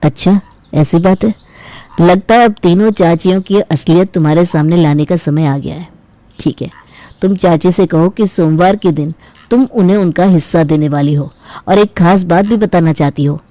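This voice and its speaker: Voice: mid-range voice, normal speed, dark tone, no echo, natural voice. A performer voicing a shrewd, protective son in a family cartoon story, knowing and exasperated. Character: shrewd, protective son warning his naive mother.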